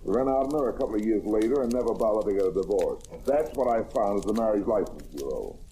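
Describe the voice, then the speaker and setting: Wry radio detective, mellow and animated. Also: male voice, on the low side, quick pace. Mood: confident, wry. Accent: American. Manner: wry, confident, hard-boiled delivery. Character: shrewd, wisecracking male detective explaining the crime. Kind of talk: old-time radio crime drama acting. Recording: noisy vintage radio recording.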